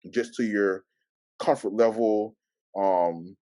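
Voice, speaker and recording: A man speaking as a panelist with reflective advice, halting and measured, with a stutter that stalls and repeats words. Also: on the low side, steady tone, dark tone, no room sound. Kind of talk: reflective advice and personal anecdote.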